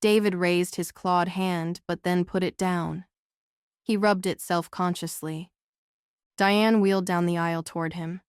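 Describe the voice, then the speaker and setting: A woman's voice: lowish voice, fairly even intonation, measured pace, smooth, no echo, studio quality. A woman narrating a novel as a scripted audiobook.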